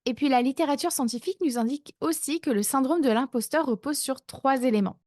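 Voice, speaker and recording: A woman's voice: sits high, fairly quick, a little mellow, no room sound, studio quality. A woman in her thirties hosting her own coaching podcast, chatting casually with fillers and self-mocking asides. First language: not English. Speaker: life coach, podcast host.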